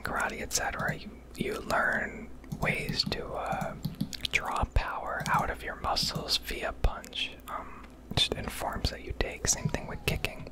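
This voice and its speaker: A woman speaking in a breathy, unvoiced whisper, rambling conversationally in the style of an ASMR gameplay video. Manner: rambling, conversational, swears casually. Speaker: video host.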